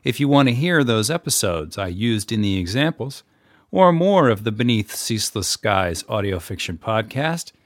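A man who produces and narrates podcasts, giving an explanatory tutorial in a measured way. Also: medium pitch, fairly bright tone, dry recording, studio quality.

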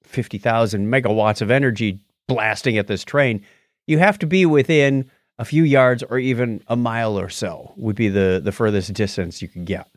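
A man's voice: medium pitch, normal speed, dry recording, studio quality. An American man co-hosting a show, chatting casually through news stories in a chuckly, explanatory way. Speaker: podcast co-host.

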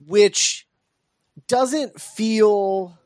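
Guy podcast host chatting about movies, kinda high voice, dry close sound, thinks out loud. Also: drawn-out words, no room sound. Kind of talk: casual film discussion.

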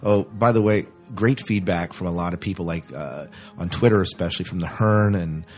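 Low-voiced man, rambling podcast host. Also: brisk, a little mellow, soft background music. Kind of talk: casual podcast chat.

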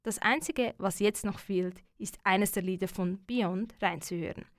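Female radio presenter, measured scripted narration, dry studio sound. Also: mid-range voice, no echo.

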